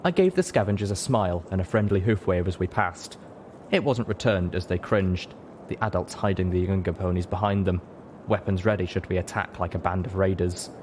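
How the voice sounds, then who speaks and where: A man's voice: deep voice, fairly quick, a little mellow, a little hiss or hum. A man reading scripted first-person story narration as an audiobook narrator.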